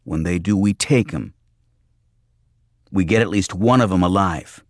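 A man's voice: low-pitched, a little slow, dry recording, clean studio sound. A man voicing a brash, impatient young man in an audiobook thriller, slangy and argumentative. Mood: sulky explaining.

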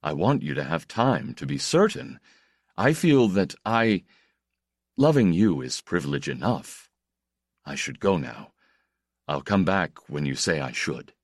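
A man voicing a polite, reserved grown man speaking warmly but with restraint, in an audiobook. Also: sing-song, no room sound, clean studio sound, heavily acted. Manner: polite, restrained, low and measured. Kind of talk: audiobook character voice.

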